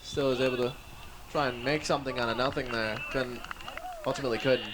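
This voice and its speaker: A man doing play-by-play commentary for a live match, slightly hoarse, excitable and chuckling often. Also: mid-range voice, brisk, background noise.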